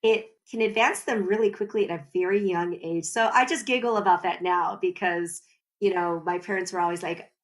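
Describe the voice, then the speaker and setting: A woman's voice: lowish voice, brisk. A woman, a podcast guest, talking animatedly, explaining at length and often breaking into laughter. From United States. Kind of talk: conversational podcast interview.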